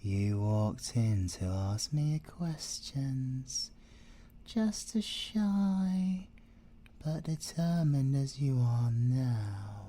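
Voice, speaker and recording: A man's voice: mid-range voice, mellow. A British man playing a playful, possessive, seductive siren villain in a theatrical ASMR audio roleplay. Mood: smug, teasing. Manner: teasing, theatrical, drawn-out delivery with drops into whispering.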